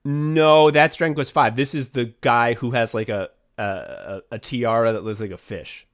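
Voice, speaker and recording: A young man co-hosting a podcast in casual chat, rambling and swearing freely, getting excited and laughing as he talks. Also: medium pitch, moderate pace. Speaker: podcast co-host and writer.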